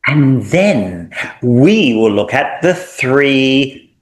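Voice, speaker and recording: Unhurried Australian man teaching. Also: mid-range voice. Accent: Australian, mild. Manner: relaxed and explanatory, drawing out example sounds with small hesitations. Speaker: Thai language teacher. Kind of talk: recorded language lesson. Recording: echoey room.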